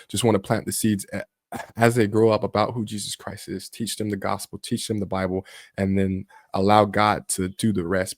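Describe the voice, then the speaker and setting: A man's voice: deep voice, no room sound, studio quality. A man hosting a reaction video, giving casual commentary in an informal, repetitive way. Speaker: YouTube reaction host.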